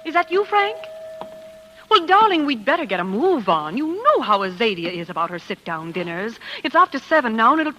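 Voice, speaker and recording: An actress playing a glamorous, impatient and insistent Hollywood wife in a theatrical 1940s radio drama style. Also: female voice, a little high, very animated, a little fast, bright, forceful tone. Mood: breezy urgency. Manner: theatrical, coaxing, emphatic. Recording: noisy vintage 1940s radio recording.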